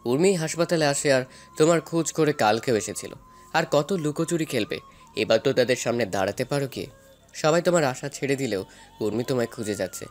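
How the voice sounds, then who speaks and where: Man acting caring doctor, mid pitch, concerned, advising, audio story drama, quiet music behind. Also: animated, brisk, lightly acted. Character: concerned fatherly male doctor, gently urging.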